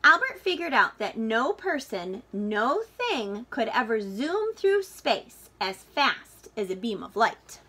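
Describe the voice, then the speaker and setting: A woman with a high, very lively voice reads a children's storybook aloud in the manner of a teacher. Her tone is bright and clear-cut, and she leans on important words, stretching them out for young listeners. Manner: expressive, deliberate emphasis with drawn-out key words. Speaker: teacher reading a picture book aloud.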